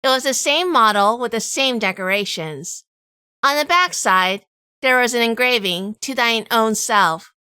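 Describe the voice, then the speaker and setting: A woman's voice: on the high side, lively intonation, unhurried, sharp tone, clean tone, no room sound. A woman playing a shrewd, probing heroine who narrates and talks in a noir audio drama. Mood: reflective, pained.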